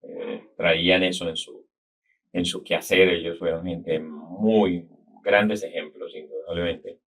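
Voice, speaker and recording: A middle-aged man speaks with a Colombian accent from the Cali area, and his voice sits very low. He tells his story in an unhurried, reflective way, like a guest settling into a long interview. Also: fairly even intonation, fairly bright tone, slightly rough. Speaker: guest, business consultant. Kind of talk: casual interview talk.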